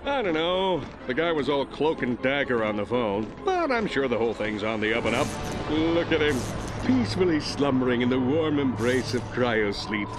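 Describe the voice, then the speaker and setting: An actor voicing a vain, bumbling hero turned galactic president in a game cutscene, with a pompous, self-important manner. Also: male voice, mid-range voice, very animated, moderate pace, music in the background, lightly acted, rough recording. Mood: pompous, boastful. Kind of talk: animated game cutscene acting.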